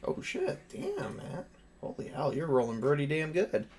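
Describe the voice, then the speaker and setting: A man with a fairly high-set voice runs a tabletop roleplaying game. He narrates off the cuff in an informal, sweary style, switching between rules and story. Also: huge pitch swings, a little slow, darkish tone. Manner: profane, informal. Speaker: game master and player.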